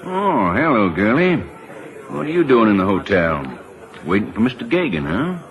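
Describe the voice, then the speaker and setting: A man's voice: medium pitch, sing-song, moderate pace. An actor playing a shrewd, persistent, folksy government lawman in an old-time radio drama, informal and a little hesitant. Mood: friendly, probing. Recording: vintage radio broadcast recording.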